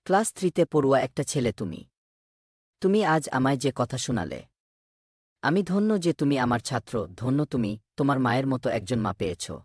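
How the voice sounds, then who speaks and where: Guy doing a kind cartoon school teacher, bit raspy, dry close sound, earnest. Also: mid-range voice, expressive, moderate pace, darkish tone, no echo. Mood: moved. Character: kindly male schoolteacher, concerned and easily moved. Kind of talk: cartoon voice acting.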